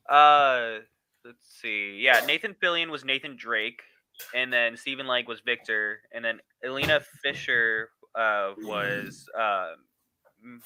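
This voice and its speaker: A man co-hosting a podcast in casual chat, speaking in a halting way with sentences that trail off. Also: mid-range voice, bright, forceful tone, smooth, low-quality recording. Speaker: podcast co-host.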